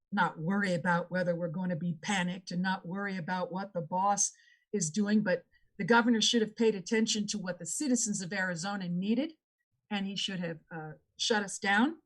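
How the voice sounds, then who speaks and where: A woman answering as a candidate in a debate, making earnest, repetitive appeals to voters. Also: mid-range voice.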